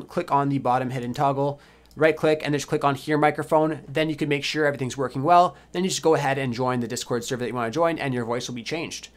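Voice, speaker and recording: A man hosting a software tutorial, explaining things step by step in a casual way. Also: medium pitch. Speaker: tutorial host.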